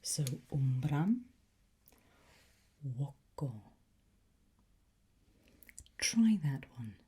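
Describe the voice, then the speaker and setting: A woman speaks in a soft, dark, very breathy whisper, at an extremely slow pace. She plays a patient ASMR teacher, gently encouraging the listener. The delivery is unhurried and close to unvoiced throughout. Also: sits low, big pitch swings. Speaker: ASMR host playing a language teacher.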